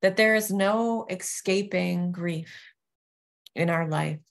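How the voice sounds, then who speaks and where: A woman guides a meditation at an extremely slow pace. Her voice sits slightly low and has a clear creak to it. She phrases things gently and deliberately, leaving long pauses between short phrases. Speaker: yoga nidra teacher.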